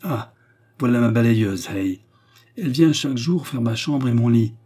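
A man's voice: medium pitch, expressive, moderate pace, dark tone, lightly acted. A man voicing a blind, brutal commissar in a story, vengeful, domineering and exclamatory, with sneers and rage. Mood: mocking.

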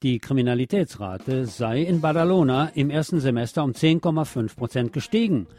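A man's voice: mid-range voice, expressive, a little fast, warm tone. A man presenting scripted local news on the radio, reading as a narrator of reports.

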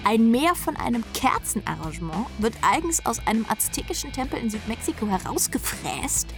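A woman's voice: on the high side, big pitch swings, normal speed. An actress playing a brash, world-weary rock drummer who tells her story in a sardonic, rambling way in a radio drama. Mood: grandiose dreaming. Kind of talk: radio drama first-person narration. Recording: music playing behind the voice.

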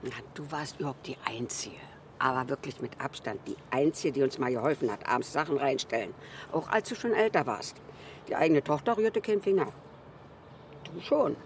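A woman's voice: extremely low pitch, expressive, fairly quick. Radio drama: elderly widow, fond, rambling, down-to-earth; audible noisy ambience behind her. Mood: fond, chatty. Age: older. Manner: rambling, reminiscing, with colloquial tag questions. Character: chatty, fond old Berlin widow, former flower seller. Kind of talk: naturalistic radio drama dialogue.

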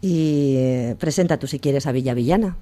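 A man's voice: medium pitch, normal speed. A man hosting a casual radio chat, rambling with frequent restarts. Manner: warm, rambling, many restarts. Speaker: radio host.

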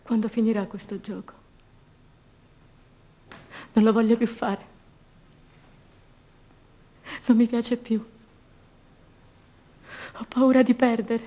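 A woman's voice: a little high, steady tone, slow, pauses often, warm tone. A woman acting an anguished young imprisoned mother in a radio theatre monologue, theatrical and repetitive, by turns defiant, tender and fearful. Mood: fearful, weary. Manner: theatrical, repetitive, emotionally raw delivery.

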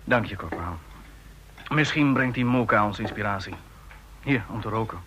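An actor in a Dutch radio play voicing a courteous, authoritative army general with a formal, commanding manner. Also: male voice, lowish voice, animated, normal speed, sharp tone, lo-fi sound, slight background noise. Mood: thoughtful.

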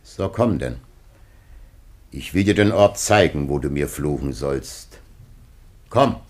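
An older man acting in a radio drama as a grieving, solemn old patriarch facing death, husky and gravely declamatory. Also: a bass voice, expressive, slow pace, darkish tone, full character voice. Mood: solemn resolve.

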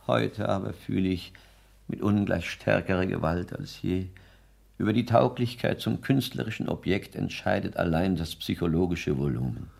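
An actor playing a pompous, self-absorbed poet who declaims in grandiloquent phrases, in the manner of a 1960s German radio play. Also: male voice, sits low, moderate pace, creaky, darkish tone, husky, full character voice. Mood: solemn, exalted. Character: pompous, rapturous male poet lodger, high-flown and self-absorbed.

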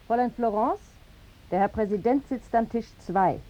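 An actress playing a deferential, reassuring café waitress in a radio drama. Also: female voice, on the high side, moderate pace, dry recording, dull audio, natural voice. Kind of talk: 1950s German radio drama acting.

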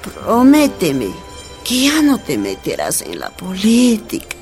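A woman's voice: medium pitch, huge pitch swings, slow pace, a little mellow, faint music behind. An actress playing a dying Bolivian mother who pleads weakly with moans in a radio soap opera. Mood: anguished, pleading. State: weak, moaning.

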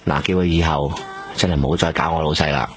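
An actor playing a smooth-talking middleman who coaxes a woman on a boss's behalf in a radio drama. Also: very deep voice, fairly even intonation, fast talker, faint music behind. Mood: coaxing. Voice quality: wheedling, persuasive. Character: smooth-talking middleman running errands for a boss. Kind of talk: Hong Kong radio drama acting.

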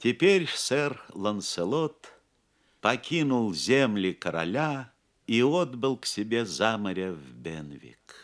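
A man narrating a legend as a scripted audio play, in a solemn, measured manner. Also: on the low side, big pitch swings. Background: plucked guitar music.

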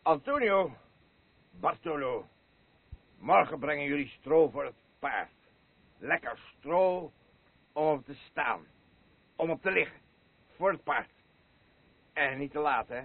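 A man acting a boisterous, rambling alcoholic husband in a Dutch radio play, halting and swinging between elation and weariness. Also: a little high, expressive, vocal fry, slightly rough, phone-quality sound, exaggerated voice. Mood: elated, commanding.

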